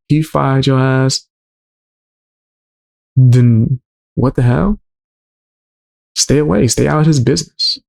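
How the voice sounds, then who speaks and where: Male streamer, low gravelly voice, relaxed chatty delivery. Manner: low-toned, laid-back, chatty with viewers. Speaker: livestream host. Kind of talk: casual livestream chat.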